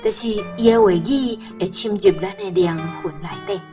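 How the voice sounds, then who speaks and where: A woman's voice: mid-range voice, moderate pace, warm tone, faint music behind. A woman with a Taiwanese accent reading a scripted essay for radio. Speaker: reader.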